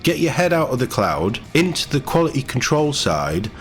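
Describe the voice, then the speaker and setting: A man's voice: medium pitch, sing-song, moderate pace. A man giving blunt, exasperated, off-the-cuff opinions as the host of a gaming news video.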